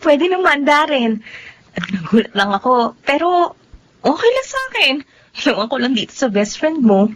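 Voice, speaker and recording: A woman's voice: high-pitched, big pitch swings, moderate pace, long pauses, rough recording. An actress playing an eager, coy young girlfriend in a Tagalog radio drama, coaxing her boyfriend expressively. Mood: surprised, agreeable.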